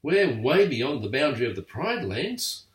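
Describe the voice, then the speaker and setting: A man's voice: mid-range voice, sing-song, moderate pace, pitched above the performer's own voice, natural voice. An older man voicing a fussy bird advisor in an anxious, raised voice. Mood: worried. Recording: echoey room, far from mic.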